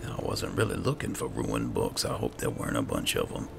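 A man's voice: rumbling low, wildly swooping pitch, fairly quick, vocal fry, noisy background. A man casually commentating on a video game, roleplaying and musing aloud to himself. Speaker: gaming host doing roleplay playthrough.

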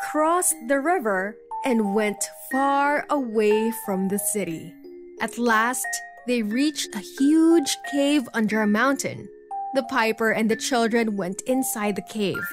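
A woman narrating a scripted bedtime story for children. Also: on the high side.

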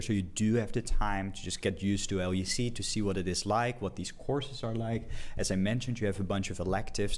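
A man on a studio panel explaining how the programme works in a relaxed way, often repeating words as he thinks. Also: on the low side. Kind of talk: informal explanation. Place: bright studio set. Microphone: desk microphone.

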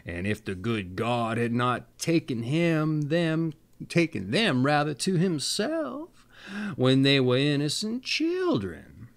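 A man in his thirties tells old fairy tales in a theatrical, folksy way. His pitch leaps up and down dramatically, and he takes his time, stretching words for emphasis. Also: sing-song, slow pace. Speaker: storyteller, show host. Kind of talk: expressive fairy-tale reading with folksy asides.

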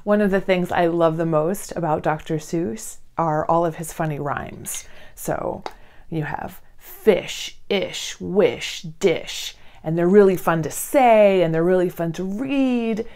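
A woman, around her thirties, talking and reading to young children in an expressive, emphatic way. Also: on the low side, slow pace. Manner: animated, emphatic, addressing children directly. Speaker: children's read-along host. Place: indoor room, seated before a plain blue wall.

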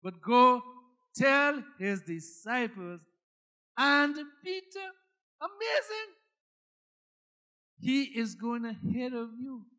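A Guyanese pastor delivers a sermon in a very high-pitched voice for a man. His tone is bright and forceful, and he preaches dramatically, leaning on repeated phrases to drive each point. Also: lively intonation, slow pace, pauses often.

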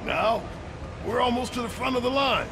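A man's voice: very high voice, normal speed. An actor playing an envious, sarcastic American mob goon who grumbles his way through a video game. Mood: grumbling, resentful. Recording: loud game music and street sound.

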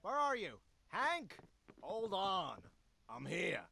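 Exasperated dog sidekick, high pitch, very lively intonation, whiny, sarcastic, video game cartoon. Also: male voice, takes it slowly, gravelly, full character voice.

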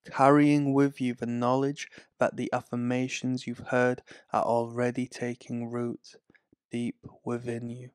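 A man reading guided affirmations in a calm, soothing, scripted way. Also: mid-range voice, clean tone, no room sound, studio quality. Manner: slow, calm, soothing delivery. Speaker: affirmation narrator.

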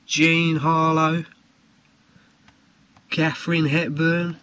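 A man informally presenting collectible cards for sale in a homemade video, speaking haltingly. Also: a little high, level tone, fairly bright tone. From United Kingdom. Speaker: trading card seller presenting his video. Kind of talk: informal product showcase.

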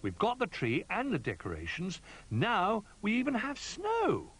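A man voicing a small, eager, adventurous young toy bear in a children's storybook, excitable and full of exclamation. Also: extremely high pitch, a little slow, slightly rough, voice pushed up, heavily acted, poor audio. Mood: excited, delighted.

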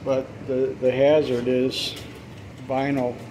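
Male plumber, casual how-to talk from a body-worn camera, even delivery, noisy basement. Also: medium pitch, takes it slowly. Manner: relaxed, explanatory, trails off with fillers. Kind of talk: casual how-to commentary while working. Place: basement utility area, indoors.

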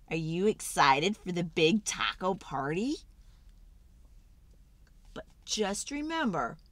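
A woman performs a children's picture book aloud with theatrical flair. Her medium-pitched voice swings widely up and down, and she takes her time, drawing words out for emphasis. The sound is close and dry. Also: a bit bright, no echo. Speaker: children's storybook read-aloud host. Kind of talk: dramatic, animated storybook reading for children.

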